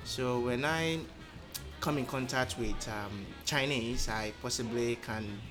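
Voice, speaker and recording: A man, a podcast guest telling his story in measured, thoughtful speech full of um pauses. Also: mid-range voice, over music. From Nigeria. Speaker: interview guest. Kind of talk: casual conversational storytelling.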